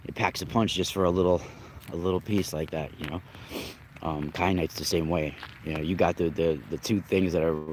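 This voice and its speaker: Deep-voiced man with a nasal cold. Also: steady tone, fairly quick, slight background noise. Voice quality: slightly nasal. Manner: rambling, restarts often, greets chat viewers. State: congested with a cold, coughing. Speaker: livestream host and spiritual reader. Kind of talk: casual livestream chat.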